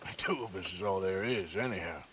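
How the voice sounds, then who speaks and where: An actor playing a gruff, sarcastic middle-aged outlaw gunslinger with a drawl, in a video game cutscene. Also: male voice, low voice, a little fast, noisy background, lightly acted. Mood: weary. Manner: grumbling, sarcastic. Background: game score music.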